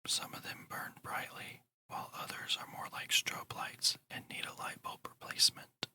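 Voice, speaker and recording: A narrator speaking entirely in a breathy whisper, guiding a meditation in a slow, soothing, deliberate way in ASMR style.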